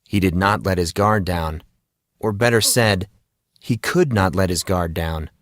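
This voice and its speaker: Slow, low-voiced man narrating fantasy. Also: fairly bright tone, no room sound, studio quality. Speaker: audiobook narrator. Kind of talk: dramatic scripted fantasy narration.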